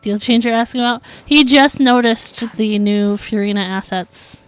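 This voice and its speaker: A woman's voice: on the high side, normal speed, clean tone. A woman streaming a game, chatting casually with her viewers in a filler-heavy way. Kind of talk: casual livestream chat while gaming.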